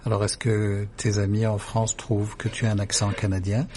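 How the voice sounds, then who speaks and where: A man's voice: fairly low pitch, monotone, normal speed, a little mellow, a little hiss or hum. A man hosting a radio interview, friendly and joking, with short encouraging replies. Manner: friendly, jokey, short back-channel replies. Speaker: radio host.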